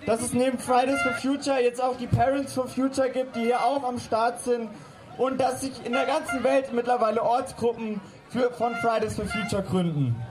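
A young woman giving an impassioned political speech at a rally, loudly and emphatically projecting her words. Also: high-pitched. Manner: loud, emphatic projected delivery. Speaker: climate strike rally speaker. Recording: loud outdoor rally noise and crowd.

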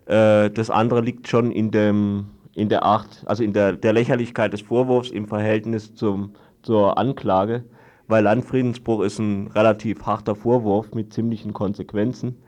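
A man giving an unscripted radio report, explaining things haltingly in long-winded sentences. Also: on the low side, flat delivery, darkish tone. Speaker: guest reporting on a court trial.

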